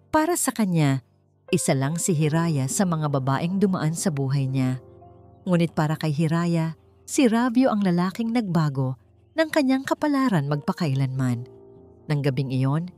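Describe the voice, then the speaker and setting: A woman's voice: on the low side, warm tone. A woman narrator reading a dramatic scripted romance story.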